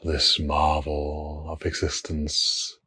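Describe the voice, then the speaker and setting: A man leading a guided meditation in a hushed, breathy, husky voice, speaking in short unhurried phrases with long pauses between them. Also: very deep voice, completely flat delivery.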